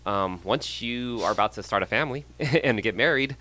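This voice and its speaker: A man's voice: mid-range voice, sharp tone. A man in his thirties from San Jose, California, a real estate agent talking casually as a podcast guest with plenty of ums. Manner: friendly, measured, with frequent ums and small laughs.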